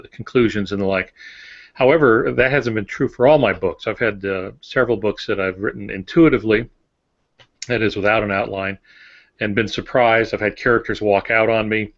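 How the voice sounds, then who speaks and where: A man, a novelist, talking casually on a podcast in a measured, explanatory way. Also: on the low side, fairly bright tone. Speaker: thriller novelist, podcast co-host. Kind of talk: casual podcast discussion of writing. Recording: dull, lo-fi podcast audio.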